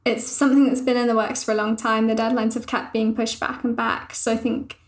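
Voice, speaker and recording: A woman journalist on a podcast, calmly explaining a topic in a thoughtful, measured way. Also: on the high side. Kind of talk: casual podcast discussion. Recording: roomy echo.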